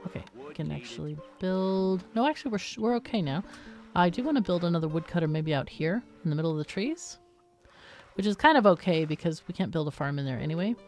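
A woman's voice: lowish voice, lively intonation, fast talker, faint music behind. A woman hosting a gaming video with casual let's-play commentary, explaining and thinking aloud as she plays.

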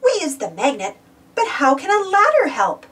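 A woman narrating a children's read-aloud storybook in an animated, expressive manner. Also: high-pitched, normal speed, far from the mic.

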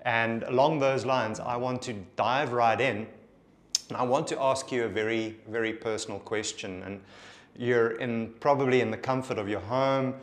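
A South African man in his forties with an Afrikaans accent, preaching to camera. He speaks earnestly and intimately, pausing to reflect, in a mid-range voice. Recorded cleanly in a bare studio. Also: medium pitch, steady tone. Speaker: pastor. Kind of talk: sermon to camera.

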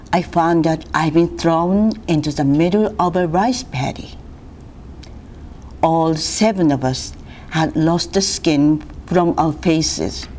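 A middle-aged woman from Japan reading a survivor's first-person story aloud as a volunteer storyteller, in a measured, solemn way. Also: lowish voice. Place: classroom, indoors. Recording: steady background room noise.

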